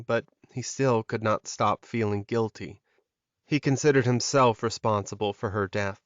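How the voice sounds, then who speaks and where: A man's voice: medium pitch, dry recording, lo-fi sound. A man reading scripted fantasy fiction as a dramatic audiobook narrator.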